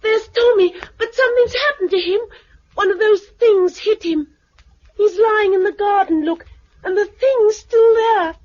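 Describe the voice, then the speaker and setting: A woman's voice: very high voice, a little slow, a little mellow. An actress playing a brave, matter-of-fact nine-year-old English girl in a 1950s radio drama, earnest and plain-spoken. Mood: urgent, pleading. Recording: muffled vintage radio recording.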